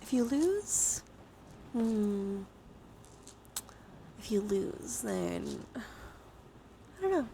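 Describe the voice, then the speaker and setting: A woman hosting a live ASMR stream, speaking softly and gently to viewers and often slipping into whispers. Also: medium pitch, very animated, drawn-out words, gravelly, pauses often, slight background noise.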